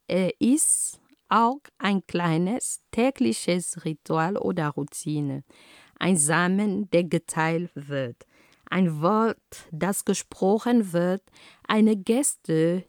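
A woman from Cameroon with a Cameroonian accent, giving a slow, deliberate prepared talk with frequent fillers and self-corrections. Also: fairly low pitch, darkish tone, no echo, clean studio sound. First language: Homala. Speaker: speaker on peace and culture.

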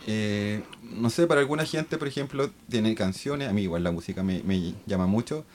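Reflective Chilean man, low voice. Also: a little mellow, a little hiss or hum. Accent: Chilean Spanish. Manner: reflective, halting, restarts words often. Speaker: guest. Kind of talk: casual podcast conversation.

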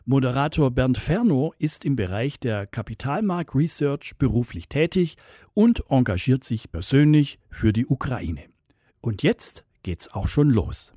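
Radio host, male; dark, husky voice with a lively, animated pitch; dry studio sound, measured announcing. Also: dry recording. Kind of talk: scripted radio introduction.

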